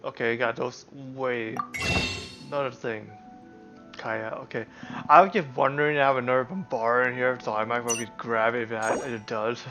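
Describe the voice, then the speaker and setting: A man livestreaming a game with casual, halting commentary, thinking aloud as he plays. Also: medium pitch, fairly even intonation. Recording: loud game music behind the voice. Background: loud game music.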